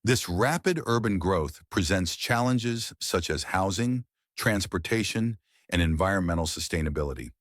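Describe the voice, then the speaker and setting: Low-voiced man reading aloud. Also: animated, moderate pace, no room sound, clean studio sound. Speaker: model reader for exam practice. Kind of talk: scripted read-aloud narration.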